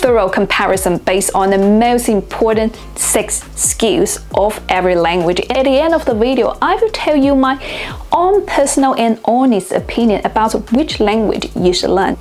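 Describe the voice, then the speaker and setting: A woman's voice: a little high, a little mellow. Chinese-native woman explaining languages. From China. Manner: clear, deliberate, slows down to pronounce example words. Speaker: language teacher and video host. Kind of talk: scripted educational narration.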